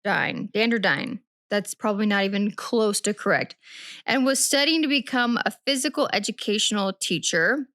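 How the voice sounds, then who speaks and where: A woman's voice: mid-range voice, expressive, moderate pace, fairly bright tone, no echo, clean studio sound. A woman co-hosting a true-crime podcast, recapping a case in a chatty, rambling way and often correcting herself. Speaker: podcast co-host.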